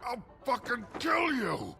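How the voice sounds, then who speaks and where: An actor playing a drunken, foul-mouthed brute with a harsh gravelly voice and an aggressive swagger in a noir game. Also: male voice, very high voice, huge pitch swings, slow, sharp tone, heavily acted. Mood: furious. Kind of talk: cinematic noir game acting. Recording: faint music behind the dialogue.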